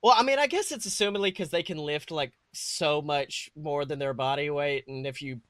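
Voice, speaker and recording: A man co-hosting a podcast in a casual, comedic style, animated and crude, swearing freely. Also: fairly high pitch, normal speed, dry recording, studio quality. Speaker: podcast co-host.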